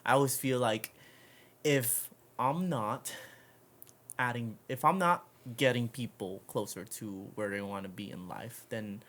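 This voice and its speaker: A woman's voice: extremely low pitch, a little slow, a bit bright. An American woman hosting a casual chat interview in an informal, slangy and encouraging way. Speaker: podcast host.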